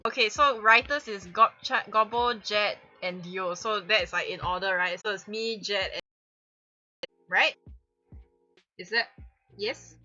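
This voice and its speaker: A woman's voice: mid-range voice, unhurried, pauses often, bright, forceful tone. A woman hosting a casual group livestream, chatting informally, with dull lo-fi sound. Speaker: livestream host. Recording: dull lo-fi voice audio. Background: light music.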